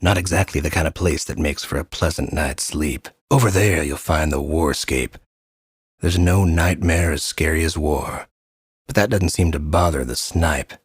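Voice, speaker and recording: A man voicing a gloomy, wry guide to a nightmare land in a game, husky and deadpan. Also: extremely low pitch, moderate pace, no echo, studio quality. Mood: wry, ominous. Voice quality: husky, raspy. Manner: deadpan, ominous wit. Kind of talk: scripted game narration.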